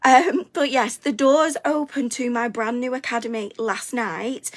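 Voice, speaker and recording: Hoarse, chatty woman in her forties. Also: on the high side, fairly bright tone. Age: 40s. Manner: chatty and upbeat, stumbling over words. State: hoarse, slightly congested from a cold. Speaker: host of a weekly live stream. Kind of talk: live stream chat to viewers.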